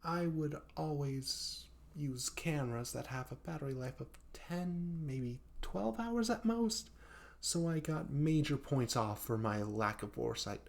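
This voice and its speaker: A man's voice: mid-range voice, natural voice. A man playing a hapless young male best friend in a comedic audio roleplay, rambling and stammering in a flustered, self-deprecating way. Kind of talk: comedic audio roleplay monologue addressed to the listener.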